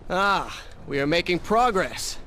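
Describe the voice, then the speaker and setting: An actor playing a determined, weary young prince with a dry, sarcastic manner in a video game. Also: male voice, high-pitched, big pitch swings, measured pace. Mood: wry. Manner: dry, wry, earnest. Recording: noisy game ambience behind.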